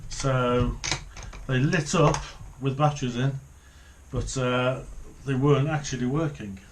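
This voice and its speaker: A middle-aged man talks through a hands-on job at an ordinary, medium pitch. His delivery is casual and unscripted, sometimes muttered to himself. The indoor recording has faint noise and small clicks. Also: a little slow. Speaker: hobbyist presenter of a radio repair video. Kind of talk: casual hands-on demonstration commentary. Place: small home office, indoors.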